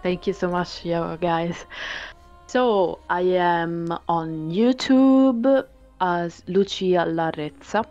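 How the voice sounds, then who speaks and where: A woman's voice: on the low side, takes it slowly, a little mellow. A woman from Italy with an Italian accent, chatting casually as a guest in an interview. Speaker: podcast guest, violinist. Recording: dull lo-fi call, music underneath. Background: music.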